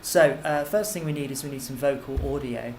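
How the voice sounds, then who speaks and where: A man giving an informal, step-by-step software tutorial as a music technology teacher, explaining as he goes. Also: medium pitch, brisk. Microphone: computer microphone. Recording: clearly noisy computer microphone recording.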